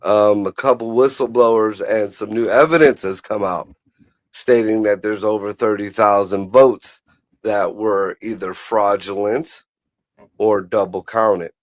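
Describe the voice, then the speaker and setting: A man's voice: lowish voice, steady tone, a little slow, dry recording. A man chatting casually about politics as a show host, blunt, profane and rambling.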